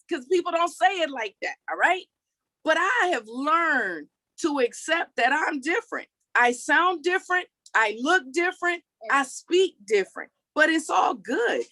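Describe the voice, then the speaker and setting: A woman in her forties gives a motivational talk in a high voice, her country accent blending Texas twang with Maryland. She speaks with emphasis and keeps checking in with "okay" and "all right." The recording is dry and close, with no room sound. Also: pushed and bright, no room sound. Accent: mix of Texas and Maryland country. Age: 40s.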